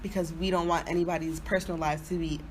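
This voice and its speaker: A woman's voice: lowish voice, flat delivery, fast, a little hiss or hum. A woman in her early twenties from Houston co-hosting a podcast, telling stories casually with slang and lots of "like". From Houston, Texas, not raised there from birth. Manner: informal, slangy, peppered with "like". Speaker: podcast co-host. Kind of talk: casual chatty storytelling.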